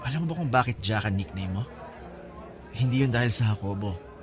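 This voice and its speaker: Actor as low-voiced breezy, teasing older brother. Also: male voice, expressive, brisk, crowd noise, close to an everyday voice. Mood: teasing, confiding. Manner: teasing, casual, talkative. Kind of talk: Filipino radio-style drama dialogue.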